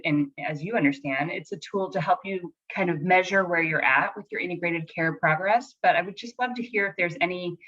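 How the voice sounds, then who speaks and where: Raspy-voiced woman presenter, lo-fi sound. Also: on the low side, fairly bright tone. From Colorado, United States. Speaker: guest presenter. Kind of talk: friendly presentation opening.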